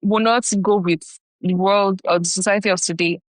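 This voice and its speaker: A young Nigerian woman with a Nigerian accent, co-hosting a podcast, talking haltingly and hedging as she searches for words. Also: medium pitch, steady tone, no room sound. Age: young adult. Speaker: podcast co-host. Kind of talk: casual chat.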